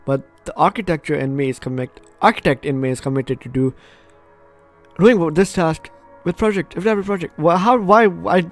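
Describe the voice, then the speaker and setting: A man hosting a gaming video, reading game text aloud with stumbles and self-interrupting asides. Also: on the high side.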